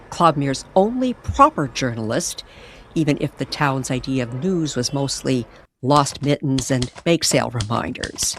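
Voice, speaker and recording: A woman narrating an eerie, nostalgic story as a storyteller, in a measured and dramatic manner. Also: low voice, slight background noise. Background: music under the voice.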